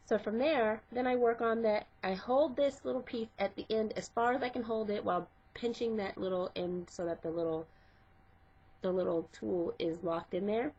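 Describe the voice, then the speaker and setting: A woman's voice: mid-range voice, fairly even intonation, normal speed, darkish tone, husky. A middle-aged woman and hairstylist, congested from a cold, talking step by step through a casual hair tutorial. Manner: step-by-step, conversational, with fillers. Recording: dull lo-fi home recording.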